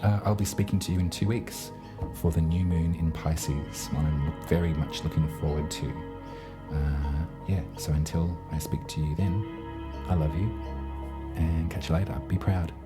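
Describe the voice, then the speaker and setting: A man's voice: rumbling low, fairly even intonation, moderate pace, loud music behind the voice. A man giving a chatty, affectionate tarot reading, speaking straight to his listeners. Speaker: tarot reader.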